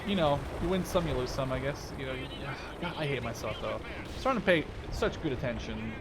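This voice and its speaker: A man's voice: mid-range voice, expressive, brisk. A man in his twenties giving casual, rambling gameplay commentary, often interrupting himself. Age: 20s. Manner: rambling, self-interrupting, informal with mild swearing. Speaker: gaming video maker. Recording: game battle noise behind voice. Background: artillery, gunfire and vehicle sounds from game.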